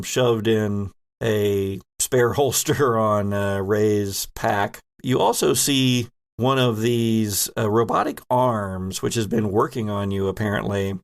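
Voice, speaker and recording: Male game master, lower voice, descriptive improvised narration delivered in pieced-together phrases.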